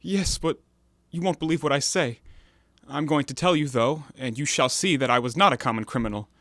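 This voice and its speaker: An actor playing an uneasy, defensive ex-convict in an audio play, speaking formally as if forever excusing himself. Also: male voice, medium pitch, fairly quick, a bit bright, no echo, close to an everyday voice. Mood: self-justifying. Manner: formal, elaborately self-excusing. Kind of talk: stage-play dialogue in an audio drama.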